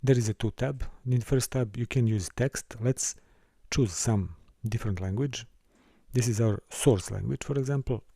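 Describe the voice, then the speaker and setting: Guy doing a screen tutorial, mellow voice, dry close sound, stops and restarts a bit. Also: medium pitch, vocal fry, breathy edge, dry recording. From Serbia. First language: Serbian. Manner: careful, halting delivery with small restarts and non-native phrasing. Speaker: course instructor. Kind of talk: screen-recorded software tutorial.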